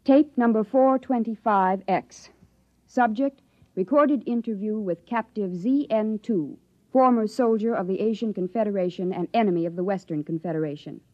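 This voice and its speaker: Actress as earnest young woman, husky, old radio. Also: medium pitch, moderate pace, dark tone, natural voice. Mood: brisk, official. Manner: earnest, hesitant, emotional. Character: earnest, love-struck young woman researcher, anxious and defiant. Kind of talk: 1950s radio drama acting. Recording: dull lo-fi 1950s radio recording.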